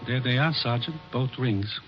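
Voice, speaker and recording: A man's voice: medium pitch, normal speed, heavy background noise, lightly acted. A man playing a sheepish, salesy pawnbroker in a 1950s radio drama. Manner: apologetic, salesy. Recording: dull old radio broadcast.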